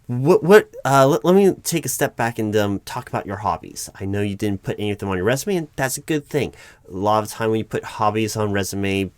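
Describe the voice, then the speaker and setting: A man playing a patient but baffled corporate recruiting manager in a one-sided interview roleplay, speaking in a halting, stammering, diplomatic way. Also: on the low side, lively intonation, no room sound, close to an everyday voice. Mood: politely probing.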